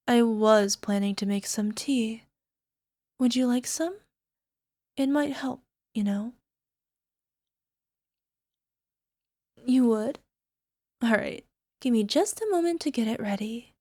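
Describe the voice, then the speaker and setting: A woman playing a young, sweetly possessive yandere alchemist girlfriend in an ASMR roleplay, coaxing softly and sometimes slipping toward a whisper. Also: a little high, a little slow, no echo, studio quality, natural voice. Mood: tender, doting. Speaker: voice actor. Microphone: close microphone, dry.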